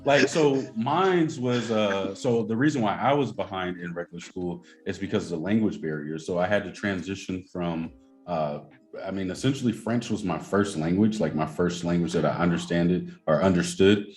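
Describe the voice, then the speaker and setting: Young man, very deep voice, casual podcast chat, rambling, lots of fillers, faint music behind. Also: normal speed, a little mellow. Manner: loose and rambling, heavy on fillers and slang. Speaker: podcast co-host.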